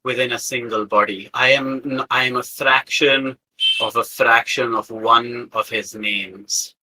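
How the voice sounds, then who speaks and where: A man's voice: mid-range voice, fairly even intonation, unhurried, bright, forceful tone, slight background noise, poor audio. A man voicing a newly embodied spirit that is a living weapon, speaking in cryptic, imperious pronouncements. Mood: grave, cryptic.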